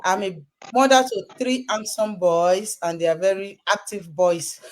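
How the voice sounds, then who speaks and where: A woman's voice: mid-range voice, measured pace. A woman with a Nigerian accent casually introducing herself as a podcast co-host.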